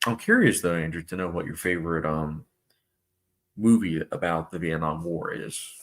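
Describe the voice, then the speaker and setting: Low-voiced man chatting on a live stream. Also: animated, moderate pace. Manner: chatty, reads viewer comments aloud, many fillers. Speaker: movie channel host. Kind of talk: casual live stream chat.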